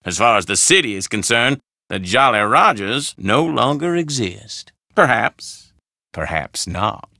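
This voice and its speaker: Gravelly, theatrical old doctor. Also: male voice, mid-range voice, very animated, pushed and bright, dry recording, clean studio sound, exaggerated voice. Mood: dry, musing. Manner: formal, deliberate, theatrical phrasing. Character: pompous, gloomy old Southern doctor, the dead man's friend. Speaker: video game character. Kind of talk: theatrical game dialogue.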